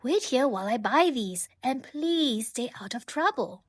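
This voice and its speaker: A woman voicing a friendly, caring man in a children's story, with a gentle, coaxing lilt. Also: sits high, expressive, measured pace, no room sound, clean studio sound. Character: friendly, caring man, companion of a little monkey. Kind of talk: storybook character voice.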